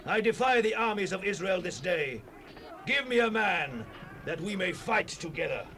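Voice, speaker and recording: An actor voicing a huge, boastful, scornful warrior champion, declaiming loud taunts in a dramatized Bible recording. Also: male voice, high-pitched, expressive, normal speed. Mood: defiant challenge. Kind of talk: dramatized Bible audio acting. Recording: faintly noisy cassette rip.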